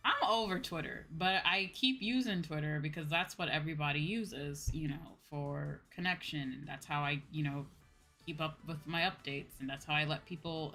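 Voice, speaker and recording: A woman's voice: lowish voice, bright, forceful tone. A woman streamer chatting casually to her livestream, with an exasperated, venting manner and some casual swearing. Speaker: VTuber streamer, host.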